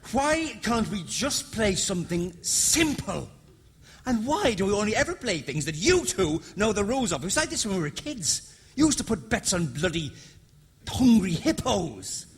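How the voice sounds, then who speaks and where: A man's voice: high voice, big pitch swings, normal speed, breathy edge, big-room sound, heavily acted. An actor playing a hapless, bewildered card player, hesitant and apologetic with his friends in comic sketch dialogue. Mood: exasperated.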